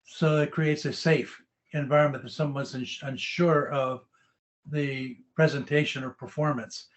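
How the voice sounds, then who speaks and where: A man guest speaker explaining in a measured, hesitant way with many fillers. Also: mid-range voice, steady tone.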